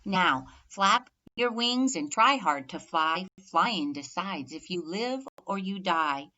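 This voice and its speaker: A woman's voice: on the low side, expressive, slow pace, bright, forceful tone, muffled recording, full character voice. A woman voicing an anxious mother turkey hen in a children's storybook, warning her young in urgent, instructive rhyme. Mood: stern warning. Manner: urgent, instructive, rhyming delivery.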